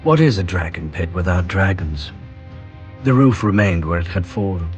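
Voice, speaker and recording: A man narrating fantasy history in a grave, measured storytelling manner. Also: low voice, lively intonation, lo-fi sound. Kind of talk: scripted lore narration. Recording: music behind the voice.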